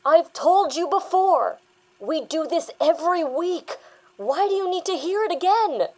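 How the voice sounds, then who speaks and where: A woman plays a young female patient in an audio drama, fed up with being doubted. Her voice is high, and she talks back with sarcasm and combative exasperation. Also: animated, unhurried, a little mellow. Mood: exasperated. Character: defiant, exasperated young female therapy patient insisting she was saved.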